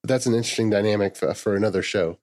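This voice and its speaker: A man hosting a podcast, asking long, multi-part questions in a relaxed, conversational way. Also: fairly low pitch, rapid-fire. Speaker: podcast host. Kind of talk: casual interview questions.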